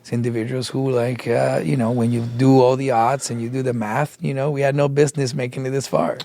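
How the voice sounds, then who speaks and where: A middle-aged man co-hosting a casual podcast chat, his voice raspy and tired after a long day, talking in a rambling way with many fillers. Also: medium pitch, flat delivery, fairly quick, warm tone, dry recording. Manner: rambling, filler-heavy storytelling. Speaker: podcast co-host.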